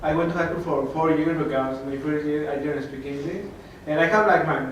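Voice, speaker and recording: Brief: young Mexican-accented man, higher voice, hesitant, echoey room. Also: a little fast, lo-fi sound. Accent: Mexican Spanish. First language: Spanish. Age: young adult. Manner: hesitant, simple phrasing. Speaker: student panelist. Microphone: handheld mic. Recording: echoey room from far off, faint noise.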